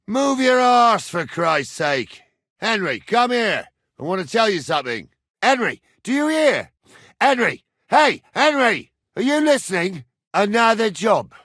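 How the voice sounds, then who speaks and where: A gruff old soldier from a medieval video game, with a very lively, swooping pitch. He talks in a rustic, salty way and orders youngsters about with crotchety impatience. The recording is dry, with no room sound. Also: no echo, full character voice. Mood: irritated, bossy. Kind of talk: video game character dialogue.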